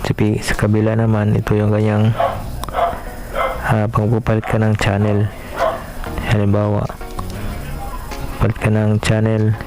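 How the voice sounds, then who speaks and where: Flat, low-voiced man unboxing gear. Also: a little slow, long pauses, poor audio. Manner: hesitant, filler-heavy, talks directly to viewers. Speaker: vlog host. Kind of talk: casual product unboxing vlog. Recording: clear background music.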